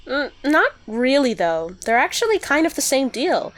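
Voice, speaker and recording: A woman acts as a young female adventurer in a radio drama. She is supportive and frank, with a fairly high, animated voice. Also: normal speed. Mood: reassuring.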